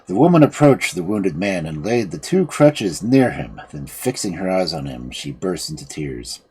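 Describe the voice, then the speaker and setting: A storyteller and stream host reading a fairy tale aloud in a theatrical, expressive manner. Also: deep voice, normal speed, slightly rough, poor audio.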